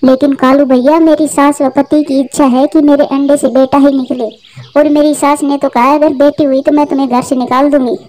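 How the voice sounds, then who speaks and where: Woman as young mother bird, cartoon, high pitch, gentle, earnest, emotive delivery. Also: little pitch movement, brisk, lightly acted. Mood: distressed. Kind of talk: Hindi cartoon voice acting.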